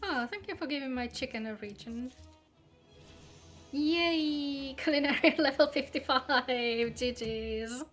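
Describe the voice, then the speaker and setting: A woman with a fairly high voice streams a game over background music. She talks casually, as if to friends in a chat. Her manner is playful and chatty, with a joking streak. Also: takes it slowly. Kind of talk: casual livestream chat while gaming.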